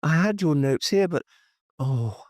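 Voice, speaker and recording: A man's voice: on the high side, lively intonation, a little slow, a little mellow, dry recording, voice pushed up. Audiobook role: male reader as nervous female official, stammering apologies in a raised, dry-recorded voice. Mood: apologetic. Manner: faltering, hesitant, stammering. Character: flustered, apologetic younger woman council official. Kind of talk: audiobook character dialogue.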